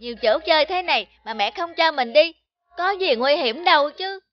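High-voiced childlike cartoon teacup. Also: female voice, lively intonation, moderate pace, dry recording, dull audio. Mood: carefree defiance. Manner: childlike, naive. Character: playful, headstrong little child teacup.

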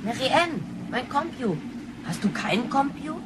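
An actress playing a brash, spoiled, jumpy young granddaughter from the future in a sci-fi radio play, slangy and excitable. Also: female voice, high voice, moderate pace, background noise. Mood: incredulous, scornful. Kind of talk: sci-fi radio drama acting.

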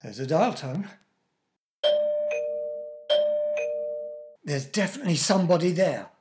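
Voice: medium pitch, animated, fast, loud music behind the voice, close to an everyday voice. An actor playing Gerald's part as an elderly, wry and fretful husband in a radio comedy. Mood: puzzled. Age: older.

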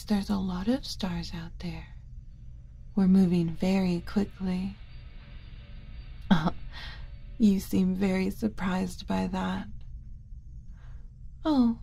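A woman voicing a gentle, doting alien who coaxes a human like a small child, in a soft ASMR roleplay with frequent whispering. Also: mid-range voice, gravelly, husky, exaggerated voice. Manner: coaxing, slow, motherly, talking as if to a small child.

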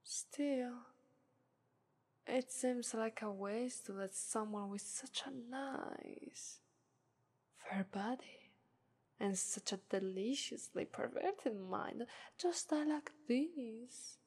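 A woman's voice: on the high side, a little mellow, heavily acted. A woman voicing an arrogant, seductive female demon of lust in a whispered ASMR roleplay, with condescending, teasing taunts. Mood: coaxing. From Italy. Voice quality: breathy whisper, slow and teasing. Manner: condescending, purring "ara ara" taunts. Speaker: voice actress.

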